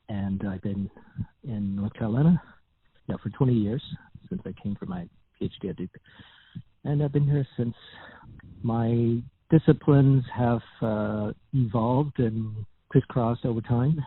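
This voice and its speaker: A man in his fifties from Taiwan, a visiting scholar, answering an interview in a measured way with frequent pauses. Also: fairly low pitch, gravelly, slightly rough, no room sound. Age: 50s. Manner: measured, pausing often. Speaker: visiting religious studies scholar. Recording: tinny phone-like line.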